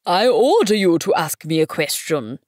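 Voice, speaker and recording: A woman's voice: lowish voice, big pitch swings, darkish tone, no room sound, clean studio sound. A woman voicing a pompous old male king from a storybook, speaking in a grand, imperious manner of command. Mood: pompous, reasoning. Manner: grand, imperious, slowly proclaiming.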